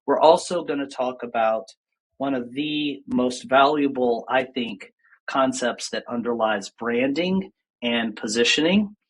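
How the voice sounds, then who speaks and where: A man's voice: mid-range voice, a little slow, husky, rough recording. A man hosting an interview podcast over a video call, upbeat and enthusiastic. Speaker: podcast host.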